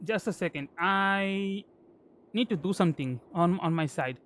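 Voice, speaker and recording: A man casually commentating a game on a live stream, halting and often repeating his words mid-sentence. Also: high-pitched, a bit bright.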